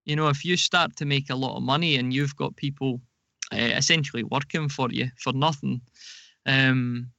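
Gravelly man, dry and slightly dull sound. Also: medium pitch, fairly even intonation, moderate pace, bright, forceful tone, dry recording. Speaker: podcast guest, audio drama producer. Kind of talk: casual interview answers.

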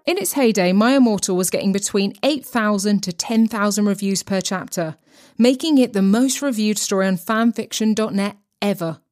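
A woman's voice: medium pitch, moderate pace, a little mellow, soft background music. A woman presenting a podcast with scripted, tongue-in-cheek narration.

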